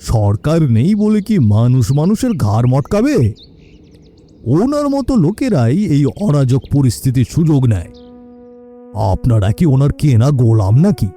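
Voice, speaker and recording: A man's voice: medium pitch, big pitch swings, normal speed, warm tone, close to an everyday voice. A man voicing a frank, friendly market-town shopkeeper in a cartoon. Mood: outraged. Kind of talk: cartoon dialogue.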